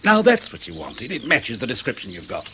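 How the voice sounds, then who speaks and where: Bitter wary spy guy in a radio play, curt n sarcastic, pitch moves a lot, bit of noise behind. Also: huge pitch swings, brisk, sharp tone. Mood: defensive. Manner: curt, sardonic. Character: wary, bitter male agent under debriefing. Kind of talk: radio drama acting.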